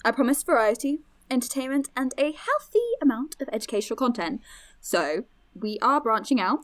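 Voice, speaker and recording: A woman's voice: a little high, lively intonation, a little fast, fairly bright tone. A woman playing an eager, friendly young podcast host in an audio drama, chatty, encouraging and inquisitive. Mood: upbeat, welcoming.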